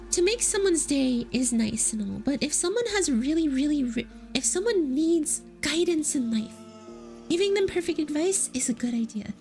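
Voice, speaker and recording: Very high-voiced playful streamer woman. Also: darkish tone. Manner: playful and giggly, teasing chat. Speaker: VTuber streamer. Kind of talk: casual livestream chat, answering would-you-rather questions.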